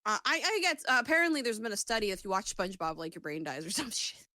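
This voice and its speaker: A woman's voice: medium pitch, very animated, quick pace, sharp tone, dry recording, clean studio sound. A woman co-hosting a podcast, chatting casually in slangy, sweary banter. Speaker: podcast co-host and aspiring voice actor.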